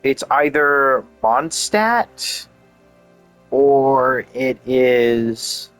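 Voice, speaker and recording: Rambling male game streamer, mid-pitched. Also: drawn-out words, fairly bright tone, poor audio. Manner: rambling, thinking aloud. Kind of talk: casual gameplay commentary. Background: game music.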